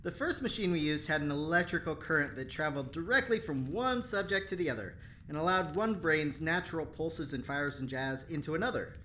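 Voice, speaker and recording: A cocky jailed engineer in an audio drama, low-voiced and swinging widely in pitch. The delivery is breezy, playful and teasing, with faint noise behind. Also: female voice, expressive, fairly quick, few pauses, fairly bright tone. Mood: confident. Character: cocky, teasing engineer locked up in a prison facility.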